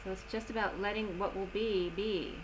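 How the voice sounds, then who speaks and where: A woman giving a tarot reading in a slow, soothing manner, slightly strained as she is losing her voice. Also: medium pitch, steady tone, background noise. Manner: slow, soothing reading of oracle cards with gentle pauses. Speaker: tarot reader. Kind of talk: solo tarot reading video.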